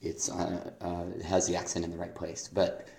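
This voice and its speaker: Hoarse low-voiced man teaching, echoey room. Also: completely flat delivery, dark tone. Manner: hesitant, many ums, speaks lyrics aloud slowly. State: hoarse from a cold, laryngitis. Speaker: songwriting teacher. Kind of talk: spoken feedback on a student's lyrics.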